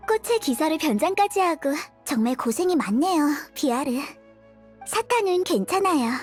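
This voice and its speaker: An actress playing a sweet, polite, determined young dancer in a video game, gentle and courteous. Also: female voice, sits high, sing-song, normal speed, faint music behind. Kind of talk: video game dialogue acting.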